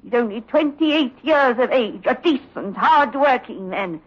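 An actress playing a protective, fearless middle-aged aunt in 1960s radio horror, with a brisk, scolding manner. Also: female voice, a little high, lively intonation, husky. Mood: indignant, scolding. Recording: dull, muffled old radio recording.

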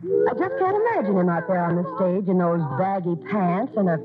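A woman's voice: fairly low pitch, lively intonation, brisk, natural voice. An actress narrating as a fond grown daughter recalling her parents in a 1940s radio play. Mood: wistful. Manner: fond, wistful storytelling. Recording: tinny old radio broadcast with music.

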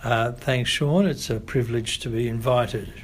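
Measured Australian man, unhurried. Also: mid-range voice, fairly even intonation, slightly rough. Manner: measured, thoughtful, pauses often. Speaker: guest, family doctor. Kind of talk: podcast interview.

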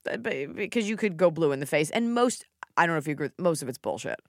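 Woman, podcast host, lowish voice, dry close sound, casual joking chat. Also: lively intonation, fast, no room sound. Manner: joking, candid and loose. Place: podcast studio.

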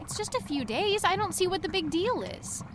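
An actress playing a chatty, sheepish woman in an audio drama, talking in an animated, conversational way. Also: very high voice, moderate pace, background noise. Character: Gail, chatty, sheepish woman sneaking a cat around.

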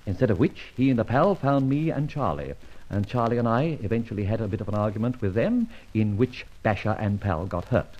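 An actor playing a cool, wry gentleman adventurer in an old radio serial, speaking in a clipped, brisk and self-assured way. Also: on the low side, expressive, lo-fi sound. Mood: brisk, confiding. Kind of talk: 1940s-50s radio adventure serial acting, first-person narration and dialogue.

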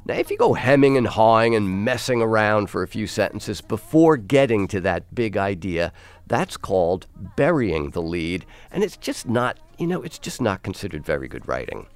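A man's voice: fairly low pitch, sing-song, normal speed. A man with an American accent hosting and interviewing on the radio in a conversational style.

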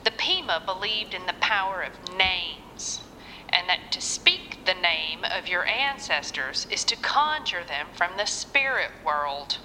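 Bright, gracious Southern preacher's wife. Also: female voice, fairly low pitch, animated, unhurried, in a large hall, a little hiss or hum, full character voice, poor audio. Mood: serenely devout. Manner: gentle, formal, scripture-quoting. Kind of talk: audio drama acting.

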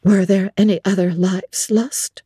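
A woman reads a classic novel aloud in the first person, slow and unhurried. Her voice is dark and husky, hushed and earnest in a dramatic audiobook reading. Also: medium pitch, fairly even intonation, no echo, studio quality. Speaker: narrator.

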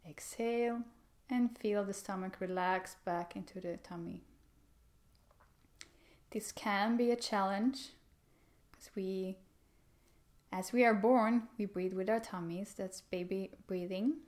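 A woman leading a guided meditation in a calm, gentle, unhurried manner with pauses. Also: medium pitch.

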